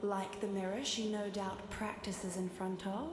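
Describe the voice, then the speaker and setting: A woman voicing a sentient fiery sword in a video game, a vain, sly goddess who speaks in a measured, teasing, haughty way. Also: medium pitch, fairly even intonation, close to an everyday voice. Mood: dry, mocking. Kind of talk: video game character voice lines. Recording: echoey game capture with loud noise.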